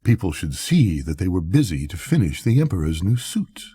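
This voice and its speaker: A man's voice: sits low, sing-song, normal speed, dark tone, husky. An older man narrating a bedtime story as a grandfatherly storyteller.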